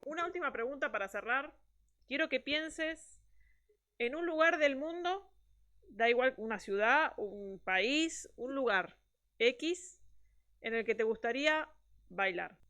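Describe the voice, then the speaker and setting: A woman's voice: high voice, expressive, measured pace, pauses often, a bit bright. A woman hosting an interview, asking short, direct questions in a friendly way. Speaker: interviewer, host. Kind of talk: conversational interview.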